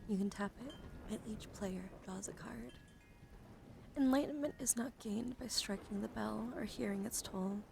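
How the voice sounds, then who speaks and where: Woman, ASMR reading, breathy whisper, soft murmur, gravelly fry, close mic, noticeable background noise. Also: a little high, moderate pace. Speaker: ASMR streamer.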